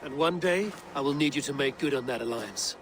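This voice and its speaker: An actor playing a stoic, blunt and loyal Viking warrior in a dramatic video game. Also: male voice, mid-range voice, brisk, noisy background, close to an everyday voice. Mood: subdued. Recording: music behind the voice.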